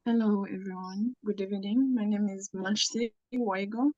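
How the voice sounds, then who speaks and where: Soft-spoken woman on dull call audio. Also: mid-range voice, fairly even intonation, moderate pace, no echo. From South Sudan. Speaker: event attendee, job seeker. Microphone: video call.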